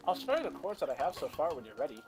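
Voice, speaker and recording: Man putting on a soft, high young woman. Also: very animated, very fast, slight background noise. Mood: mild, friendly. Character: earnest young woman college student, gently pressing. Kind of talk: fan fiction character reading.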